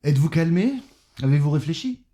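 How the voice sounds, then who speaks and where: A man's voice: fairly high pitch, big pitch swings, a little fast, mellow. A man playing a smug, condescending, corrupt mayor in an audio drama, patronising and coolly menacing. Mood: smug, persuasive. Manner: patronising, cajoling. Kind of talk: French audio fiction acting.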